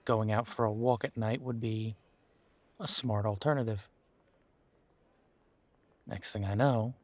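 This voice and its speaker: A man playing a bitter, wry young werewolf in an audio roleplay, talking haltingly and sardonically as if in intimate one-sided conversation. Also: lowish voice, dry recording. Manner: halting, sardonic, conversational with sighs and repeated words.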